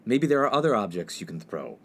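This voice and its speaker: A man's voice: lowish voice, very animated, a little fast. A man playing an earnest, exasperated young college freshman in a comedic radio play, indignant and sarcastic. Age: young adult. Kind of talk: comedic 1950s-set radio play acting. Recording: faint background noise.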